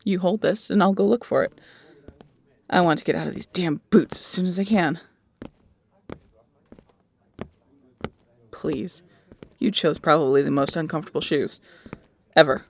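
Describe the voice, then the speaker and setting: A woman voicing a snarky, excitable young scientist in an audio roleplay, chatty and nerdy. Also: deep voice, normal speed, creaky. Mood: exasperated. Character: snarky, excitable young female scientist aboard a pirate ship. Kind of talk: audio roleplay acting addressed to the listener.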